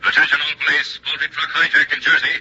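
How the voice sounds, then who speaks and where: An actor playing an urgent, clipped police dispatcher over shortwave radio in a 1940s radio comedy. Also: male voice, a little high, completely flat delivery, fast talker, pushed and bright, slightly rough, heavily acted. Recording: muffled, phone-like old radio recording.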